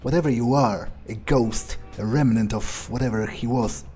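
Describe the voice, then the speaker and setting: A man roleplaying a ruthless, calculating vampire in a game, musing aloud in halting, profane sentences. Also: medium pitch, warm tone, slightly rough, soft background music, natural voice. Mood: curious, startled. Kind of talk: in-character improvised game playthrough monologue.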